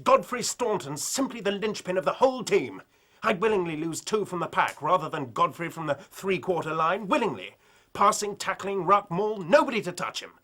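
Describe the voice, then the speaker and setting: An actor playing an excitable young rugby captain in a radio drama, English-accented, pouring out words in an agitated rush. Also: male voice, high voice, moderate pace, heavily acted. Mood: agitated, distraught. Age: young adult. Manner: agitated, breathless torrent of talk.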